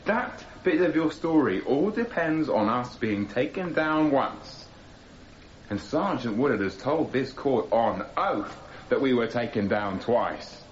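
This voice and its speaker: A man's voice: mid-range voice, expressive, slow. An actor playing a defiant young male anarchist defendant cross-examining police in a radio drama, insistent and accusatory, with sarcastic formality. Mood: pressing. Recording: slightly dull, noisy radio recording.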